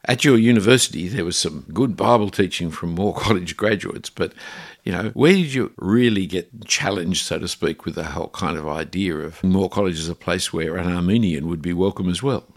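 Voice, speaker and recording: A man's voice: low-pitched, moderate pace, hardly any pauses. A man hosting a podcast interview, asking questions in a conversational, probing way. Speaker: host.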